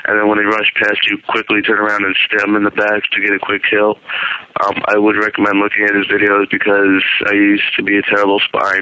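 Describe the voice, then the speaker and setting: A man's voice: lowish voice, bright, forceful tone, clear, resonant tone, poor audio. A man leaving a casual, rambling voicemail with gameplay advice.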